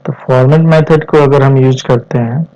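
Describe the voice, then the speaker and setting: A man in his twenties with an Indian accent explains step by step as a tutorial instructor, in halting short phrases mixed with English terms. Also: mid-range voice, steady tone, warm tone. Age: 20s. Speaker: programming instructor. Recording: dull, lo-fi voice recording.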